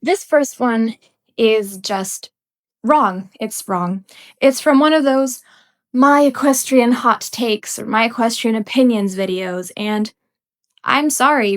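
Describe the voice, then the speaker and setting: A young woman with a somewhat high voice delivers an unscripted rant as a podcast host. She talks bluntly and emphatically, with the odd laugh, and sounds slightly stuffy from a cold. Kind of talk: unscripted ranting commentary.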